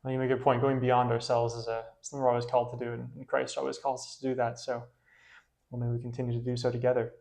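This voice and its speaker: A man with a gravelly, creaky voice hosts a relaxed parish interview. He speaks in a friendly way at a middling pitch, pausing often with fillers as he gathers his questions. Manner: friendly, hesitant with many fillers. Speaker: parish priest hosting interview. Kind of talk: casual interview.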